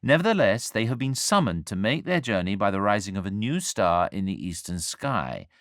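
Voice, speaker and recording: Male narrator, low register, very animated intonation, wry literary storytelling with measured delivery. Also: no room sound, studio quality. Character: angel narrating the nativity story, wry and urbane. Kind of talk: scripted dramatic storytelling narration.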